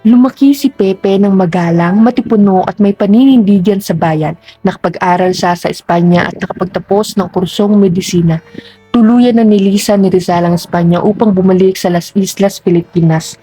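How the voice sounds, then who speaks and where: A woman's voice: mid-range voice, normal speed, warm tone, slightly rough, dry recording, faint music behind, low-quality recording. A woman giving scripted historical narration for an amateur drama.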